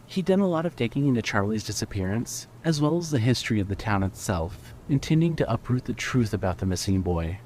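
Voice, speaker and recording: A man's voice: on the low side, very animated, brisk, hardly any pauses, darkish tone, low-quality recording. A man reading scripted narration as the host and storyteller of a fiction podcast. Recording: faint background noise and ambience.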